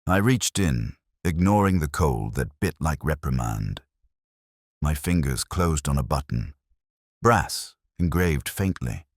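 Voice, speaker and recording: A man narrating a scripted first-person detective story in a brooding, measured, literary manner. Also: rumbling low, lively intonation, no room sound, studio quality.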